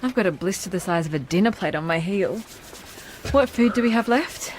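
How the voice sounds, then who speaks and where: A woman's voice: mid-range voice, lively intonation, a little fast, a little hiss or hum, close to an everyday voice. An actress playing a frank, feisty, wounded young woman in love in a naturalistic radio drama, blunt and teasing in manner.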